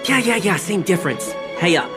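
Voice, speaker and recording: A man's voice: sits high, animated, a little fast, music in the background. A man playing a greedy, smug bookshop counter minder in a video game, with a sneering, teasing drawl. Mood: smug, bargaining. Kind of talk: video game character dialogue.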